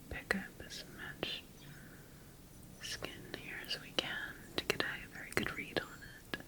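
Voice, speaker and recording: A woman playing a calm, attentive scientist in a sci-fi ASMR roleplay, speaking in a gentle, measured, clinical manner with pauses between phrases. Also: on the high side, full character voice, low-quality recording. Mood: focused, clinical. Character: calm, attentive female scientist studying her bioengineered creation.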